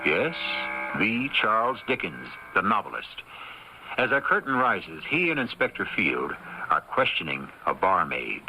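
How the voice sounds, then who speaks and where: A man hosting an old radio mystery series with theatrical, deliberate scripted narration. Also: deep voice, very animated, sharp tone, a little hiss or hum, low-quality recording. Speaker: radio drama host. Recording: old radio broadcast recording. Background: music.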